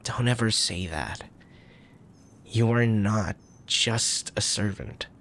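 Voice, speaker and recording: A tender young crown prince played by a man speaks low and unhurried, with heavy creak in his voice. His wording is courtly and formal, his manner gentle and troubled, as in an intimate ASMR audio roleplay. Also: slight background noise. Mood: earnest, protective. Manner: formal old-fashioned wording, gentle, hesitant.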